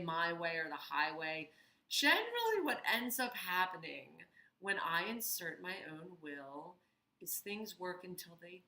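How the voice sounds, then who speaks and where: A woman giving an earnest, reflective talk for her video series, with thoughtful pauses and fillers. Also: lowish voice, pushed and bright, reverberant. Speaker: vlogger. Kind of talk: reflective personal talk to camera.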